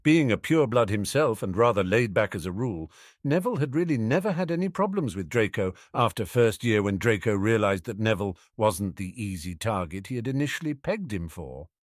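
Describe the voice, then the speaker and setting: A man's voice: fairly low pitch, animated, fairly quick, no room sound, clean studio sound. A man reading scripted fiction aloud as an audiobook narrator.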